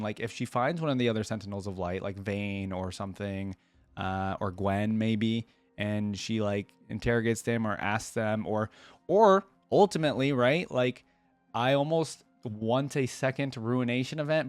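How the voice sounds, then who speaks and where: A man's voice: fairly low pitch, no echo. A man hosting a casual reaction video, thinking aloud in an informal, rambling way. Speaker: YouTube reaction host.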